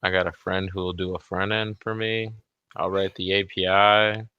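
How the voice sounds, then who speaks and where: A man's voice: sits low, level tone, normal speed, clear, resonant tone, no echo, muffled recording. A man in a casual chat, explaining things offhandedly, self-deprecating and swearing casually. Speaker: guest, software developer.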